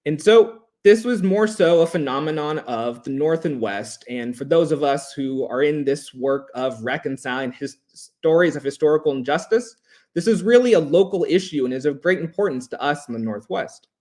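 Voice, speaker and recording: A man giving a prepared history presentation in a measured, emphatic, formal way, as a lecturer. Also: a little high, animated, a little mellow.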